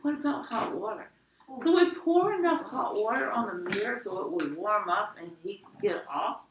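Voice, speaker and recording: A woman's voice: mid-range voice, lively intonation, normal speed, lo-fi sound, lightly acted. A woman voicing a tender, worried mother fussing over her child in a story read aloud. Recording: echoey, distant mic, faint noise.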